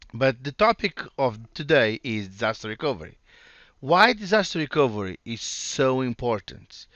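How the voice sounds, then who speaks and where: A man's voice: medium pitch, pushed and bright, clear, resonant tone, no room sound, dull audio. Portuguese-accented man presenting slides. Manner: earnest, halting with restarts. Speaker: solution architect presenting. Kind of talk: recorded conference talk over slides.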